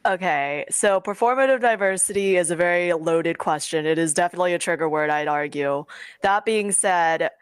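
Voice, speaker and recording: A woman's voice: on the low side, normal speed, clean tone, no echo. A young adult woman giving advice in a casual panel talk, rambling informally and emphatically. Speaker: guest webcomic creator.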